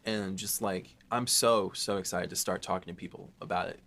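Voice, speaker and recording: A man with a moderately low voice chats casually as a podcast co-host. He is eager and informal, talking things up as he goes. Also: steady tone, normal speed, a bit bright, slight background noise. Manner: eager, informal, hype-building. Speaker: podcast host and series writer. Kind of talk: casual behind-the-scenes chat.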